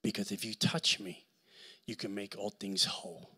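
A middle-aged man with a Puerto Rican accent preaching a sermon with a handheld mic in a large church hall, swinging between shouts and near-whispers. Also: on the low side, fairly even intonation, moderate pace, breathy edge. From Puerto Rico. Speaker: guest preacher.

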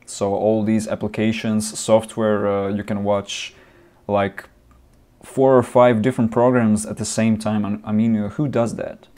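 A man's voice: lowish voice, little pitch movement, unhurried, dark tone. A young man, not a native English speaker, ranting in a casual gaming vlog with lots of restarts and fillers, recorded on a webcam in a small room. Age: young adult. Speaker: gaming vlogger. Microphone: webcam at desk, close framing.

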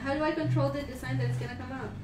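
A young woman with a high voice co-hosts a casual art livestream. She is playful and exclamatory, picked up from a distance in an echoing room with music playing. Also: steady tone, very fast. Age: young adult. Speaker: co-host and artist on a live drawing stream. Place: indoor room at a computer desk. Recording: roomy, distant mic, music behind.

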